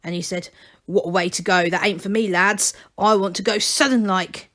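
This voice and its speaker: A woman's voice: mid-range voice, steady tone, moderate pace, a bit bright, lightly acted. A woman voicing a stubborn old male gnome who rambles insistently in rustic dialect, as in a storybook. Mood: insistent, reminiscing.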